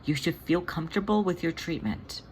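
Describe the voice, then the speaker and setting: A gentle, motherly she-cat from an audio story , as a narrator puts her on. The delivery is soothing, measured and reassuring. Clear background noise sits behind the voice. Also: mid-range voice, very animated, pitched above the performer's own voice, lightly acted. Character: Jelly, gentle reassuring older female cat, motherly caregiver.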